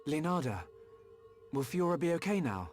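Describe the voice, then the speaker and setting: A man's voice: a little high, sing-song, normal speed, darkish tone, faint music behind. An actor playing an earnest, polite and determined young male hero in a video game cutscene, over noise. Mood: concerned, hopeful.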